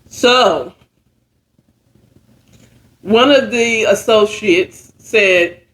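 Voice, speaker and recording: A woman informally retelling a story in a halting, conversational way, often checking in with her listeners. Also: mid-range voice, fairly bright tone, low-quality recording. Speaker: storyteller retelling a book chapter.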